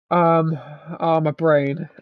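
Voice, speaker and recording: A man with a worn-out, hoarse voice stumbles through a casual race wrap-up, restarting his sentences and swearing now and then. He talks slowly. His voice comes through a dull, dry lo-fi mic. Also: fairly high pitch, no echo. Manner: stammering, rambling, restarts often; swears, laughs at himself. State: hoarse, voice strained from shouting. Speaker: race commentator.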